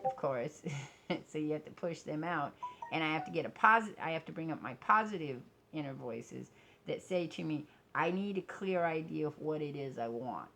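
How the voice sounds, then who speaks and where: A woman talking casually to viewers in a personal vlog, blunt and self-deprecating, halting as she thinks aloud. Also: low voice, a bit bright, a little hiss or hum. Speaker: vlogger.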